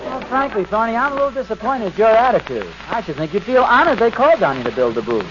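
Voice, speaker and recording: A man's voice: sits high, big pitch swings, quick pace, a loud crowd. An American man acting a self-important, evasive suburban husband in an old-time radio sitcom, pompous and blustering, on a noisy, muffled recording. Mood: falsely modest. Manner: pompous, blustering, stammering when cornered.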